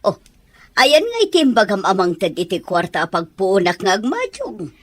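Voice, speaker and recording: A woman's voice: medium pitch, wildly swooping pitch, moderate pace, a bit bright, no echo. A woman playing a greedy, scheming older mother in an audio drama, with a drawling, wheedling way of talking. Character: greedy, scheming older mother who loves gambling at mahjong.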